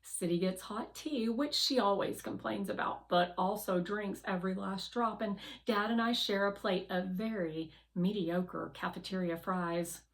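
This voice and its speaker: A woman narrating a children's novel aloud in an expressive, deliberate read-aloud style. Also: medium pitch, low-quality recording.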